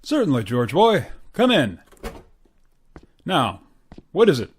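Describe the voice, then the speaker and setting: A man's voice: fairly high pitch, wildly swooping pitch, slow pace, breathy edge, exaggerated voice. Man playing a blustery boss with swooping intonation. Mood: hearty, congratulatory. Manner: hearty, pompous. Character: blustery, stingy, patronizing male boss, middle-aged or older. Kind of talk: old-time radio sitcom acting.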